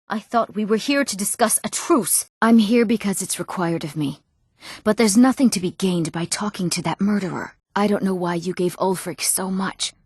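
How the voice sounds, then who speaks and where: A woman's voice: mid-range voice, no room sound, studio quality, close to an everyday voice. A young woman playing an earnest, proper young townswoman and noblewoman in a fantasy video game, speaking politely and formally with careful enunciation. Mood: stern, indignant. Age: young adult. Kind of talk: scripted fantasy game dialogue.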